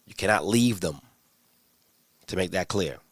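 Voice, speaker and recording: A man's voice: low voice, unhurried, dry recording, clean studio sound. A man teaching scripture in a casual livestream, answering viewers' questions in a conversational, slangy way with many restarts.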